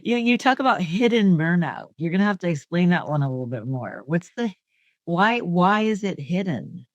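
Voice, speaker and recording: A woman's voice: lowish voice, animated, normal speed, no room sound, lo-fi sound. Upbeat woman hosting a podcast. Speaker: podcast host. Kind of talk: conversational podcast interview.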